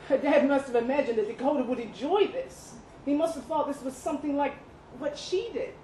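A woman reading a literary short story aloud at a live event, with expressive, deliberate phrasing and dramatic pauses. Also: high voice. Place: indoor live reading event at a pub venue. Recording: roomy live venue, some background noise.

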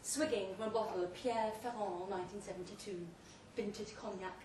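A woman giving an emphatic, deliberately phrased dramatic reading of a second-person short story at a live event. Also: medium pitch, fairly even intonation. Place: indoor pub event, before an audience. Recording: roomy live pub recording, distant mic, faint noise.

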